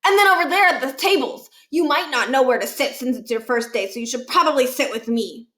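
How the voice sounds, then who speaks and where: An eager, well-mannered schoolboy from a children's storybook, voiced by a woman. He is chirpy and helpful and explains everything, in a fairly high voice. The sound is roomy and echoey. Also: brisk, fairly bright tone. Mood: eager, polite. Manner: chirpy, polite, over-explaining. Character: eager, helpful goody-two-shoes schoolboy. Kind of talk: children's book read-aloud.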